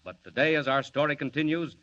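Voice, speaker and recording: A man's voice: a little high, fairly even intonation, fast, sharp tone. A man narrating a 1940s radio serial with a dramatic, sweeping delivery. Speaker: narrator and announcer. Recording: dull old radio recording with hiss.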